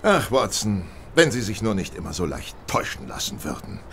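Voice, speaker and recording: A shrewd, superior detective in a radio play. His low voice is creaky and swings widely in pitch, sardonic and sure of itself. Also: wildly swooping pitch, brisk, fairly bright tone, slight background noise, exaggerated voice. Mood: smug. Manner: sardonic, theatrical, self-assured. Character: shrewd, world-weary, superior male detective. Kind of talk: German audio drama acting.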